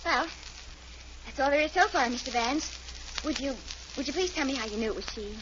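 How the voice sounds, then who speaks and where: An actress playing an eager, admiring secretary to a detective in an old-time radio drama, obliging and deferential. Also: female voice, sits high, lively intonation, brisk. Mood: dutiful. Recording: muffled, hissy vintage radio broadcast recording.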